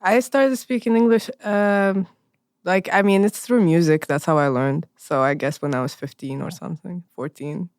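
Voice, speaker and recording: Smooth-voiced woman, Swedish-Arabic accent. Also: fairly low pitch, moderate pace, a little mellow, dry recording, clean studio sound. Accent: Swedish and Arabic mix. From Sweden, raised there from age ten. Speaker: interviewer. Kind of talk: casual conversational interview.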